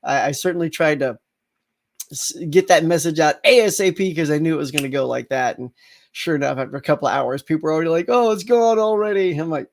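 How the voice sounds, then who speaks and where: A middle-aged man hosts a relaxed live morning chat, his voice sitting a touch high. He sounds a little congested and rambles along, restarting sentences and tossing in plenty of fillers. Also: fast talker, gravelly. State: slightly congested. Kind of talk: casual live-stream chat with viewers.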